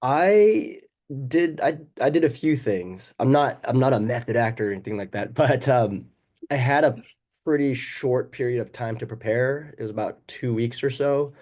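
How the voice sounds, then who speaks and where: A man's voice: medium pitch, expressive, normal speed, a little mellow. A man speaking as an actor at a festival Q&A, casual and self-deprecating, often laughing. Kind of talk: festival Q&A answer.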